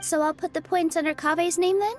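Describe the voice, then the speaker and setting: A woman voicing a gentle, polite and hesitant young woman in a video game. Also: extremely high pitch, fairly even intonation, brisk, soft background music. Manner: soft-hearted, hesitant, polite.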